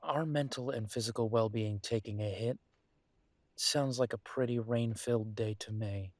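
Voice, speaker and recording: A man acting an affectionate, world-weary werewolf detective in an intimate audio drama, soft and coaxing with thoughtful pauses. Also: medium pitch, no echo, lightly acted.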